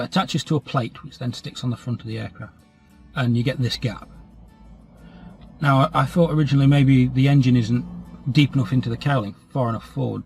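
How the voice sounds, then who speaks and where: A man's voice: mid-range voice, creaky, darkish tone, slightly rough. A British man with a cold, chatting casually about his scale model hobby in a rambling, self-deprecating way. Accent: British English. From England. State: has a cold, slightly stuffy. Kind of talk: casual update chat. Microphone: camera mic.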